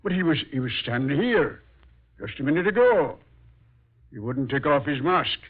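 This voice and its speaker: Blustery, slangy skeptical cop: higher-pitched male voice, 1940s radio drama, thin phone-like sound. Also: big pitch swings, normal speed, slightly rough. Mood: flustered. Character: brash, skeptical policeman, easily flustered. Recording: thin, tinny old radio recording.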